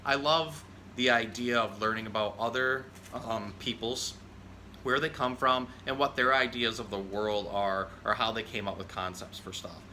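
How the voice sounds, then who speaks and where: Bright-voiced man hosting an animal storytime. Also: fairly low pitch, fairly even intonation, moderate pace, slight background noise. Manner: conversational, explanatory. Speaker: zookeeper host of children's storytime show. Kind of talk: casual educational talk and storybook reading.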